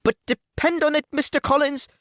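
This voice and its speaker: A man doing a shrill, fretful mother. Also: fairly even intonation, moderate pace, fairly bright tone, no echo, studio quality. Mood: insistent. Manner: high, fluttering and insistent.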